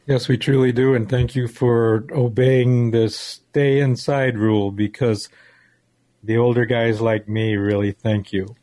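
A man's voice: medium pitch, flat delivery, a little slow, warm tone, no room sound. An American man in his sixties, reminiscing conversationally as co-host of a talk show. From United States. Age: 60s.

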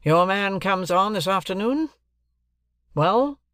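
A man's voice: high voice, lively intonation, slow pace, a bit bright, dry recording, clean studio sound, pitched above the performer's own voice, full character voice. A man voicing a stern, domineering middle-aged lawyer in an audiobook, blustering and imperious. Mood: curt, dismissive.

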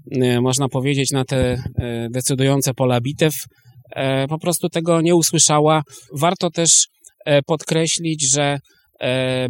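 A man's voice: mid-range voice, dry recording, clean studio sound. A man explaining history as a guest historian, in hesitant, piecemeal phrases.

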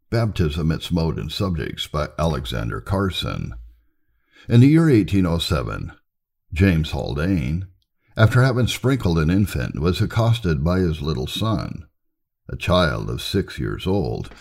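A man with an extremely deep, gravelly voice reads religious history aloud. His delivery is solemn and emphatic, with pitch that moves in a lively way through each sentence. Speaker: narrator reading church history. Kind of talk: scripted narration, reading aloud.

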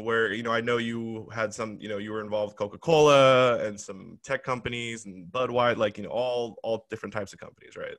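A man's voice: medium pitch, fairly bright tone, no room sound. A man hosting a podcast, introducing his guest casually and asking rambling questions full of "you know". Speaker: podcast host.

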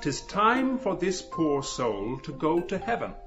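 A man reading an audiobook, voicing a young male schoolteacher who asks brisk, clipped questions. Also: a little high, sing-song, voice pushed up. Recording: muffled audiobook with music beneath.

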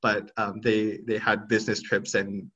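A man in his thirties, originally from Thailand, answering interview questions thoughtfully with frequent pauses and restarts. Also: fairly low pitch, level tone, bright, forceful tone, muffled recording. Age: 30s. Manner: thoughtful, hesitant, many restarts and fillers. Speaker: podcast guest.

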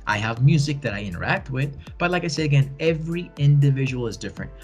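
A man's voice: mid-range voice, expressive, quick pace, a little mellow, faint music behind. A man in his forties, a bilingual educator answering interview questions in an earnest, encouraging way and often repeating phrases for emphasis. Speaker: podcast guest, bilingual educator.

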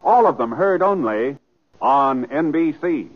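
A man's voice: fairly high pitch, animated, unhurried, highs cut off. A man doing scripted 1950s radio announcing on an old broadcast recording. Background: loud music.

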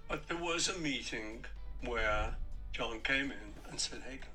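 An older man with a Liverpool accent telling a story in an interview clip, relaxed. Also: mid-range voice, a little slow, faint music behind.

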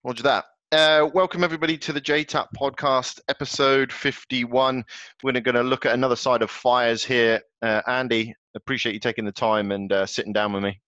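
A man's voice: medium pitch, steady tone, fairly quick, pushed and bright, dry recording. A man hosting a podcast, asking loose, rambling questions with plenty of fillers. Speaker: podcast host. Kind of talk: casual interview chat. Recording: dry, dull lo-fi sound.

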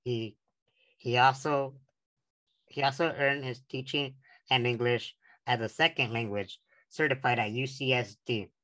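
A man's voice: mid-range voice, fairly even intonation, a little slow, pauses often, bright, forceful tone, low-quality recording. An eighteen-year-old man from San Diego hosting an interview, reading the introduction earnestly with restarts. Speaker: podcast host.

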